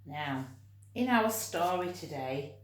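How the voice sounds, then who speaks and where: Slow, expressive woman teacher in a roomy space. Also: low voice. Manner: expressive, encouraging, addressing young children directly. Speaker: teacher reading stories to children. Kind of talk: storytime read-aloud and teaching for young children. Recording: roomy, echoing home recording.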